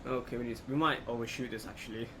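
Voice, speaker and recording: Steady noise behind the voice, man, mid-pitched, casual let's play commentator, unscripted. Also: quick pace. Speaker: gaming video host. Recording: clear steady background noise, air-conditioning-like hum.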